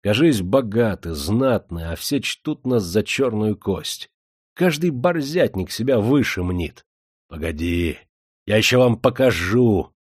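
Man acting a proud, gruff industrialist. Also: lowish voice, expressive, slow, dry recording, studio quality, exaggerated voice. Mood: brooding resentment. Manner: stern, forceful. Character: proud, gruff, resentful self-made factory owner.

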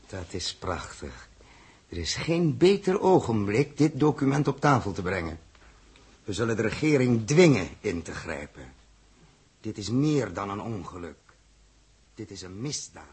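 An actor playing a decisive, daring pilot and team leader in a 1960s radio drama, with a commanding, resolute delivery. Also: male voice, on the low side, very animated, measured pace, a little mellow, slightly rough, no room sound, exaggerated voice. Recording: faintly noisy 1960s radio recording.

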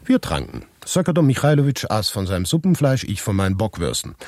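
A man narrating a literary radio story in the first person, with measured, deliberate storytelling. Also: on the low side, big pitch swings, a little mellow, no room sound.

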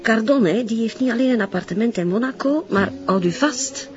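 An actress playing a chatty police officer with a Flemish accent, eagerly sharing findings in colloquial talk, in a television crime drama. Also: female voice, fairly high pitch, a little fast, close to an everyday voice. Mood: gossipy, amazed. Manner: colloquial, eager, frequent tag questions. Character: chatty, gossipy female police colleague. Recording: muffled television audio with faint music.

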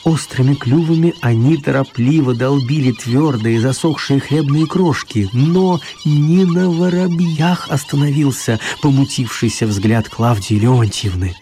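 A man's voice: mid-range voice, a little slow, hardly any pauses, warm tone, a little hiss or hum. A man narrating a children's radio play in a scripted storytelling style.

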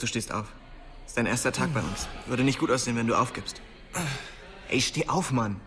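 An actor plays a young man narrating a radio drama with wry, slangy ease. His voice sits at a medium pitch. Music plays underneath as he tells of his small-town youth. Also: a bit bright, lightly acted. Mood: casual. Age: young adult. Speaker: narrator and main character.

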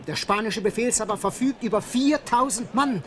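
A woman's voice: fairly high pitch, moderate pace, a bit bright, lightly acted. A woman playing a stubborn, doubting citizen of old Paris in a German radio drama, arguing insistently. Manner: insistent, argumentative.

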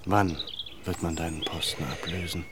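A man's voice: low-pitched, flat delivery, moderate pace, background noise, close to an everyday voice. A man playing a firm, probing leader. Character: determined landowner leader, adult man. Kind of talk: radio play acting.

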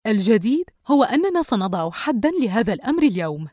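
A woman's voice: on the high side, very animated, quick pace, dark tone, no echo, clean studio sound. A woman voicing a stern, cold, fed-up mother who gives curt commands in a dramatised audio story.

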